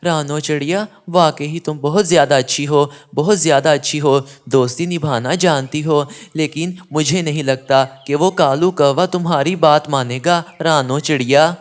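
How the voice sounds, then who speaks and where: A man's voice: a little high, normal speed, few pauses, natural voice. Timid, plaintive cartoon pigeon played by a man. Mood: anxious. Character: timid, pleading male pigeon. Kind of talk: cartoon story dialogue.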